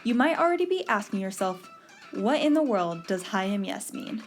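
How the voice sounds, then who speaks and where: A woman's voice: medium pitch, very animated, normal speed, faint music behind. A young woman from Texas hosting a podcast, giving an earnest scripted narration. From Texas, USA. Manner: earnest, read-aloud delivery. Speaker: podcast host.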